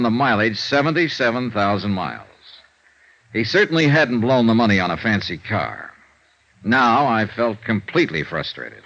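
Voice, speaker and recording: An actor playing a world-weary, dry American investigator in a 1950s radio noir drama, with clipped hard-boiled delivery. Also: male voice, on the low side, fairly bright tone, slight background noise. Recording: muffled vintage radio recording.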